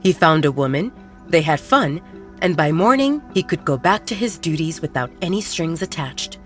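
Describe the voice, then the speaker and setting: A woman's voice: low-pitched, animated, moderate pace, faint music behind. Woman narrating a werewolf romance audiobook.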